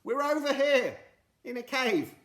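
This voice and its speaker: A man doing an excitable, wailing Friday. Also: normal speed, reverberant. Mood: dramatic. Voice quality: high, wailing, theatrical. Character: excitable romantic young man Friday O'Leary.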